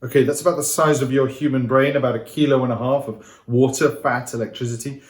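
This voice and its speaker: An upbeat man teaching to camera, talking straight to his audience and coaxing them to take part. His voice sits at an everyday middle pitch. It carries a roomy echo. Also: fairly even intonation, fast. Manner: upbeat, coaxing, addresses viewers directly. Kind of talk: energetic interactive lesson to camera.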